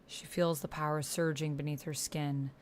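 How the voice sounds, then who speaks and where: A woman livestreaming a text-based roleplaying game, reading narration aloud and chatting casually with slangy, excitable reactions. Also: low-pitched, moderate pace, a little hiss or hum.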